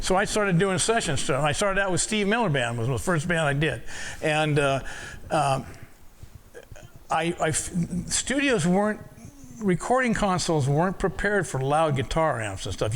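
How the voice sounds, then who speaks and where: Casual older guy, audio engineer type, reminiscing, lots of restarts and repeats, slightly high pitch. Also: fairly bright tone. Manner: rambling, many restarts and repeated words. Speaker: audio engineer telling stories. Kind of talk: casual storytelling talk.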